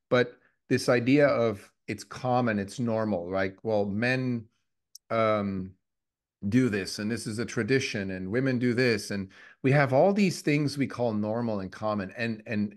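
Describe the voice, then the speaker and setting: Reflective Swiss-raised man in his fifties. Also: medium pitch. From Switzerland. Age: 50s. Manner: reflective, restarts often, lots of fillers. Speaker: podcast co-host. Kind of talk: casual conversational podcast.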